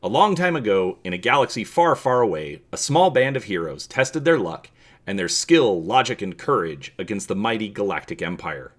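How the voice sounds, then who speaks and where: Low-pitched middle-aged man hosting. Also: sing-song, moderate pace. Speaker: host of a tabletop gaming channel.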